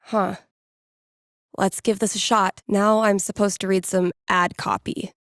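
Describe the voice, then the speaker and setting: A woman's voice: mid-range voice, little pitch movement, unhurried, a bit bright, no echo, studio quality. A woman voicing a wry, casual young American record store DJ in a video game, muttering to herself with self-amusement. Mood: casual, self-coaching. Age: young adult. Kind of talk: naturalistic video game voice acting.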